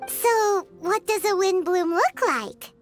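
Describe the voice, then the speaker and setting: A woman voicing a tiny, cheerful childlike fairy companion in a video game, squeaky, eager and dramatic. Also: slow, soft background music. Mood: curious. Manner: refers to herself in third person, expressive. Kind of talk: video game character acting.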